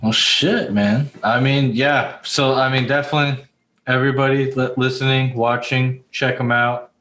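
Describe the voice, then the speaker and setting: A man's voice: medium pitch, steady tone, moderate pace, sharp tone. A man co-hosting a casual podcast over a video call, joking and swearing freely. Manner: crude, swearing, jokey. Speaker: podcast co-host. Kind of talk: casual chat. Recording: clear background noise.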